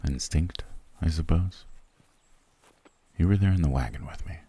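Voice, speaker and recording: Guy doing a cold soldier prince, super low husky voice, curt n disdainful, fantasy asmr roleplay. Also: normal speed, dark tone. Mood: brooding. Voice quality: very deep, gravelly, breathy. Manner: curt, disdainful, dry, low-key grumbling.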